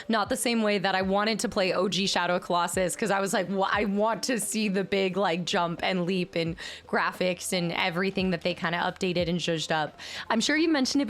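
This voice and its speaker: A young adult woman streaming, chatting casually with her viewers and reading their names and gifts aloud. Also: medium pitch. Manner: animated, chatty, reads chat names aloud. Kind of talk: casual chat with live chat.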